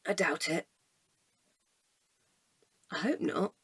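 A woman acting a weary, cautious night-watch guard in a naturalistic audio drama, with a husky, measured, deadpan delivery. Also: on the low side, no echo, full character voice. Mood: resigned.

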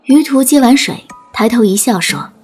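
High, husky female story narrator. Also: animated, normal speed, darkish tone. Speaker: audiobook narrator. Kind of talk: scripted dramatic story narration. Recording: music bed under narration.

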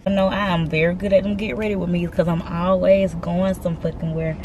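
A woman of twenty-one talking casually and excitedly to her camera from inside a car, swearing freely. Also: fairly low pitch, fairly quick, noisy background. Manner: chatty, profane, excitable. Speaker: vlogger. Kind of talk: casual vlog chat to camera. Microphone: handheld camera at arm's length.

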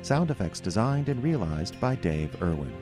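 A man's voice: lowish voice, big pitch swings, normal speed. Male announcer over music. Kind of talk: scripted closing credits read.

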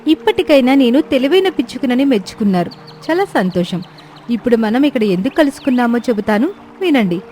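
A woman's voice: on the high side, animated, a little fast, mellow, a little hiss or hum, lightly acted. A woman voicing a clever, proud, earnest young sparrow in a children's cartoon story, speaking with self-assurance. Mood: proud, confident.

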